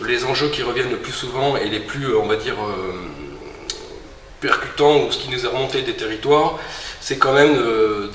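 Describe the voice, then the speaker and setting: A man's voice: medium pitch, fairly bright tone. Echoey male advisor, slightly off mic. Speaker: technical advisor from a public agency. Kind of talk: explanatory interview answer. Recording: echoey room, slightly distant mic, faint noise.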